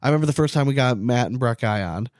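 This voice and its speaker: Male podcast co-host, medium pitch, informal storyteller, very little room sound. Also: animated, fast, darkish tone, dry recording, clean studio sound. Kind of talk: casual podcast chat.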